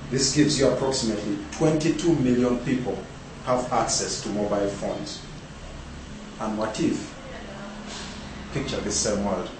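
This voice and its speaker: Man from Uganda, conference speaker, lowish voice, heavy echo in a large hall. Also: a little hiss or hum. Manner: rhetorical, repeated questions. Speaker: conference presenter. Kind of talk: conference presentation. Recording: echoey hall, speaker far from mic.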